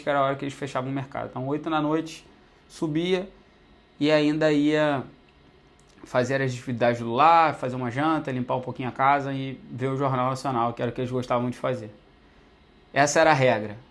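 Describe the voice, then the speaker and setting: A young man from Brazil telling his own life story to the camera in a casual, conversational way, often tagging sentences with "né". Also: mid-range voice. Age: young adult. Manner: conversational, many fillers like "né" and "pô", addresses viewers directly.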